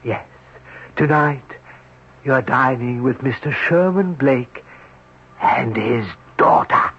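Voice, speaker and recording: Unearthly wish-granting manservant, slow and husky, on an old radio play. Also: male voice, mid-range voice, expressive, exaggerated voice. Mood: sinister calm. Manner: slow, measured and formal. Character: otherworldly male servant granting wishes, calm. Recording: dull old radio broadcast.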